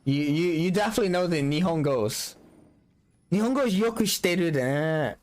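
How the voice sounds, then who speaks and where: A man's voice: a little high, animated, moderate pace. A young adult man streaming a game in casual chat, joking about himself and laughing often. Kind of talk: casual live-stream chat while gaming.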